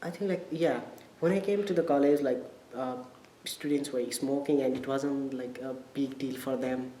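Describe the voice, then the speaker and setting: A man's voice: medium pitch, steady tone, normal speed, mellow. A young man with a Nepali accent gives casual interview answers as a college student, hesitating and searching for words. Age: young adult. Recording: echoey room with background noise.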